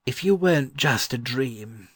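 Guy doing old scholar in a storybook, slow n dreamy, wistful, pitched up, close dry sound. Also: animated, no echo. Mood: fond, wistful. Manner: halting, musing, fond. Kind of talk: audiobook character voice.

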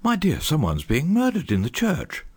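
A woman voicing a flustered, earnest middle-aged country vicar with a pompous, deliberate way of talking in a storybook reading. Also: deep voice, wildly swooping pitch, darkish tone, slightly rough, pitched below the performer's own voice, exaggerated voice. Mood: alarmed.

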